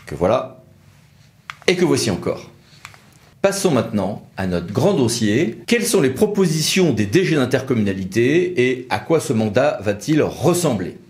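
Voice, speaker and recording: A man's voice: mid-range voice, a little mellow. A man hosting a news briefing and interview, presenting in a scripted style. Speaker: host and presenter.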